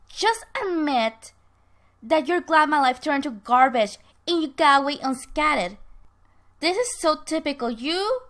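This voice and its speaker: Woman acting a bitter, theatrical, taunting ex-lover woman. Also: a little high. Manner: sarcastic, theatrical, drawn-out emphasis.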